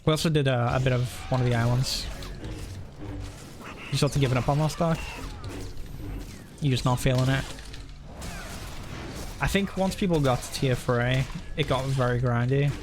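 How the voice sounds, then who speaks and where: A man's voice: mid-range voice, normal speed, pauses often, over music. A man live streaming a game, rambling casually and thinking aloud as he comments and chats.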